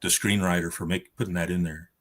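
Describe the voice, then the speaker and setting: A man on a discussion panel, chatting casually about films in a measured, reflective manner. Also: sits low, level tone, a bit bright. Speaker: roundtable panelist.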